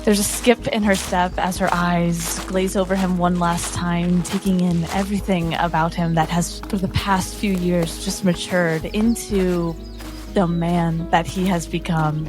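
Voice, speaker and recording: Lower-voiced woman storytelling over music. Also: steady tone, measured pace. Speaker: tabletop game player. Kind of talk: in-character fantasy storytelling. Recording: music under the voice.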